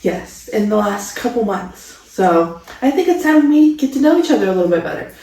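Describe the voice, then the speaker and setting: A woman's voice: mid-range voice, big pitch swings, brisk, warm tone. Echoey, enthusiastic young woman vlogger. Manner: chatty, enthusiastic, gushing. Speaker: vlogger co-host. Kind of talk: casual vlog chat, Q&A. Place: home studio room, indoors. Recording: echoey room, distant mic.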